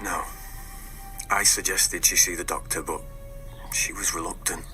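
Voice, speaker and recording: A man's voice: low-pitched, fairly even intonation, a little slow, sharp tone, soft background music, heavily acted. An actor playing a middle-aged husband of a missing woman in a naturalistic radio drama, hesitant and evasive, with clipped denials. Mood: guarded, subdued.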